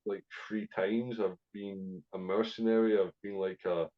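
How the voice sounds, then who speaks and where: A man's voice: on the low side, level tone, measured pace, muffled recording. Scottish man, sweary rambling storyteller. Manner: swears freely, rambling with many fillers. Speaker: podcast guest, actor. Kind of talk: casual storytelling interview.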